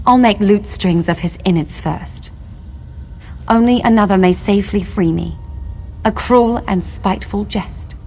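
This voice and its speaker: An actress playing a long-imprisoned elven wife in a fantasy game, speaking formally and solemnly, with bitterness and longing. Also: female voice, medium pitch, unhurried, darkish tone, a little hiss or hum, close to an everyday voice. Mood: bitter. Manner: formal, archaic, solemn phrasing. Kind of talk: scripted fantasy game dialogue.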